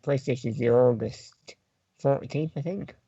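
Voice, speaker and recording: A man with a creaky, fry-edged voice talks casually as a panellist on a gaming podcast. The sound is close and dry, slightly dull at the top. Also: mid-range voice, measured pace, mellow, dry recording. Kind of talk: casual chat about video games.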